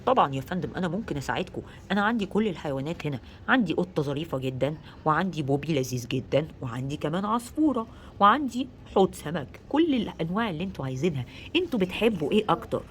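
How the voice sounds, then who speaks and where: A man's voice: a little high, sing-song, racing, fairly bright tone, slight background noise. A man playing a friendly, obliging pet shop owner in a children's story, speaking politely and helpfully with an Egyptian accent. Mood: helpful, eager. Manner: polite, helpful salesman's patter. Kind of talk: children's story role-play.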